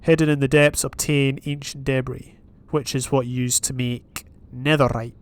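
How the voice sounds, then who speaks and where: A man's voice: medium pitch, flat delivery, moderate pace, clean tone. Chatty Scottish man playing a game. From Scotland. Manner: chatty, thinking aloud, reading advancements while playing. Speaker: gaming YouTuber. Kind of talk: casual Minecraft let's play commentary.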